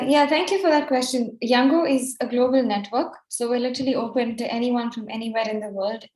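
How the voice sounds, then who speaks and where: A woman's voice: a little high, darkish tone. A young woman giving an informal, explanatory answer as a panel delegate on a video call. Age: young adult. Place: online video meeting, indoor room. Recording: roomy, echoey video call.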